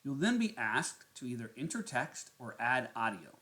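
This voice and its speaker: A man narrating a step-by-step software tutorial as its host. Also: mid-range voice, very animated, normal speed.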